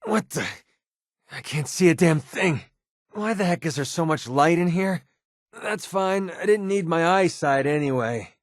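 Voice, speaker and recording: A man's voice: a little high, expressive, measured pace, no room sound, clean studio sound. A man with an American accent playing a sarcastic, wisecracking young mercenary companion in a video game. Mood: loud, exasperated. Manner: sardonic, wisecracking, colloquial. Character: wry, sarcastic young male wasteland mercenary. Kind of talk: video game companion dialogue, naturalistic acting.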